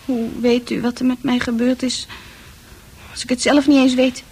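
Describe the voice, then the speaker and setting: A woman's voice: high-pitched, little pitch movement, moderate pace, a little mellow, slightly rough. A woman acting a troubled, distrustful psychiatric patient in a radio drama, wary and exasperated. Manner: protesting, wary. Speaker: actress in a radio play.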